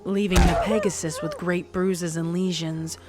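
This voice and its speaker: A woman's voice: fairly low pitch, level tone, unhurried. A woman reading scripted fan fiction as a dramatic story narrator. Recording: narration over soft music.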